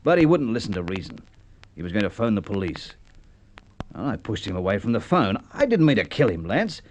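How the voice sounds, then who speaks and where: A man's voice: fairly low pitch, big pitch swings, brisk, low-quality recording. An actor playing an anxious, broke man turned guilty killer, with an Australian accent, in Golden Age radio drama style. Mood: anxious, defensive. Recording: old radio recording with background hiss.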